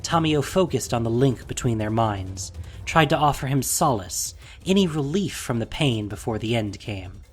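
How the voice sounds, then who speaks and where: Male narrator for fantasy audio drama: husky, breathy, deliberate and dramatic, over faint music. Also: medium pitch, expressive.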